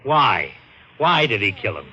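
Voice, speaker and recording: A man's voice: lowish voice, big pitch swings, unhurried, breathy edge, heavily acted. A man playing a blunt, skeptical, exasperated police lieutenant in an old-time radio detective drama. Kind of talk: 1940s-50s radio detective acting. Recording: muffled, noisy old radio recording.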